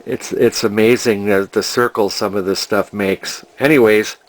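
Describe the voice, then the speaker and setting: A man's voice: fairly low pitch, fairly even intonation, moderate pace, poor audio. An older man, casually narrating a repair as a hobbyist, rambling and hesitating as he thinks aloud. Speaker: hobbyist vintage TV restorer.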